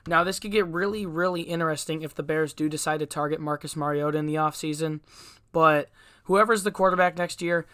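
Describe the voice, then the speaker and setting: A man's voice: a little high, pushed and bright, smooth, no room sound, clean studio sound. Congested young man hosting a sports podcast. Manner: casual, opinionated, frequent "uh" and "um". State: congested from a cold. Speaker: podcast host. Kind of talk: solo sports podcast commentary.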